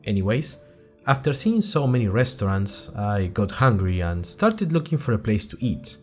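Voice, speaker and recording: Young male student journalist played by a male actor, animated and wry, chatty podcast storytelling with music underneath. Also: on the low side, normal speed. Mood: chatty, curious. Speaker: fictional podcast host and narrator. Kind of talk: scripted first-person audio drama narration in chatty podcast form.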